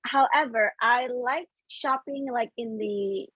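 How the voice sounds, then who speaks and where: A woman guest whose first language is Indonesian, friendly and laughing often in a relaxed chat over a video call. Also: on the high side, slow pace, husky, no room sound. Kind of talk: casual interview chat. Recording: muffled lo-fi stream audio.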